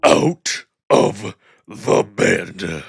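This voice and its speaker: A man voicing a furious old wolf emperor, husky and gravelly, barking slow imperious orders in a visual novel. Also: low-pitched, sing-song, a little hiss or hum, pitched below the performer's own voice, heavily acted.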